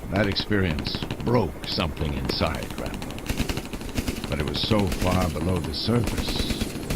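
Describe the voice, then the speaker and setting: An actor playing a grave, world-weary military man, narrating a video game story in somber, deliberate phrases. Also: deep voice, very noisy, full character voice.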